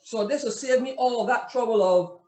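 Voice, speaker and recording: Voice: mid-range voice, normal speed, a little mellow. A chef explaining a dish step by step on a video call, often checking in with "right?" from a home kitchen. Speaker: chef cooking live. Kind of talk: cooking demonstration on a video call. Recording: distant echoey room with faint noise.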